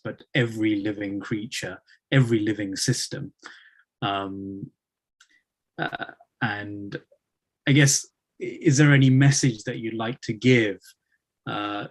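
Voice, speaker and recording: A man hosting an interview over a video call, asking questions hesitantly with many fillers. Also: fairly low pitch. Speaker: host.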